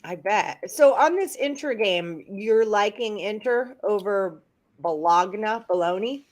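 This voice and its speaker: Casting: woman host, mid-pitched, chatty, quick with questions and laughs. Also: expressive. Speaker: show host. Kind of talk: casual betting chat.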